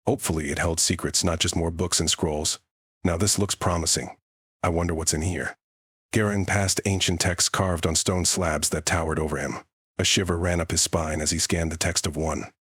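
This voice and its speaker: Audiobook narrator, man with a deep, rough-edged voice, reading scripted fantasy fiction. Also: fairly bright tone, no echo, studio quality.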